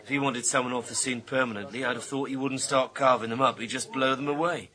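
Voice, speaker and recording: A man's voice: medium pitch, fairly even intonation, quick pace, sharp tone, natural voice. An actor playing a grumbling, sardonic British policeman in a radio thriller, wry and full of slang. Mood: musing, sceptical. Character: grumbling, sardonic male police colleague of the inspector.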